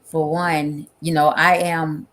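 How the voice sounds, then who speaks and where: A woman's voice: deep voice, little pitch movement, slow, a bit bright, a little hiss or hum. A mother on a panel, speaking directly and plainly with plenty of fillers in a casual discussion.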